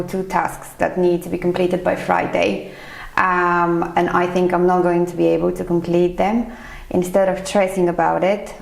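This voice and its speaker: Woman from Bulgaria, thirties, gravelly low voice, lots of ums, echoey room. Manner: thoughtful, many um fillers. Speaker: chief financial accountant, interview guest. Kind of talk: casual podcast interview.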